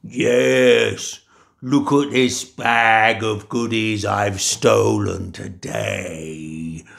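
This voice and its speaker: A man voicing a huge, greedy male giant with a gruff, booming manner. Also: steady tone, very slow, fairly bright tone, heavily acted.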